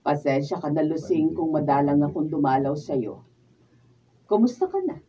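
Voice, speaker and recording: A woman's voice: deep voice, expressive, normal speed, dark tone, slight background noise. A woman playing an apologetic, evasive older female relative in a Filipino radio drama, with a Filipino accent. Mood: concerned. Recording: dull, distant mic.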